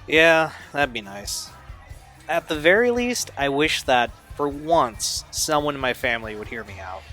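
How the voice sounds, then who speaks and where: A man playing an apologetic, weary man who avoids conflict in an audio drama, hesitant and gentle. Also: medium pitch, very animated, measured pace, soft background music. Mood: resigned, wistful.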